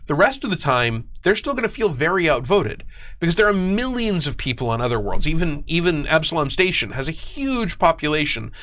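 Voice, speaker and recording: A man's voice: on the high side, sing-song, brisk, fairly bright tone. A man giving an explanatory livestream talk, emphatic, often posing questions and checking in with "right?". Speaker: guest game designer explaining lore.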